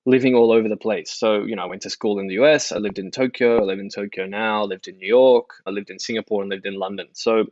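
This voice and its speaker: A man's voice: lowish voice, fairly even intonation, quick pace, few pauses, a little mellow, dry recording, muffled recording. A man in his thirties with an Australian accent, talking casually and wryly as a podcast guest. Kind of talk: casual interview conversation.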